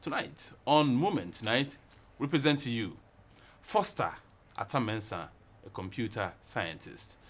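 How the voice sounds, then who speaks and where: A man's voice: mid-range voice, expressive, a little slow. A man, hosting a TV interview show. He reads a long formal introduction, then stumbles and repeats words as he probes his guest. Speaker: TV talk show host.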